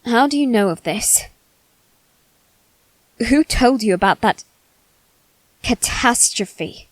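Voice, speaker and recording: A woman playing a brooding, haughty aristocratic boy bent on revenge, speaking formally and deliberately in a dramatic ASMR roleplay. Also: a little high, expressive, no room sound, poor audio. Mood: defiant. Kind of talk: dramatic ASMR roleplay monologue addressed to listener.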